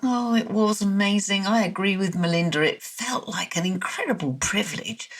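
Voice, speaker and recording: A woman's voice: medium pitch, normal speed. A woman co-hosting a podcast, reading a scripted introduction. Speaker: podcast co-host.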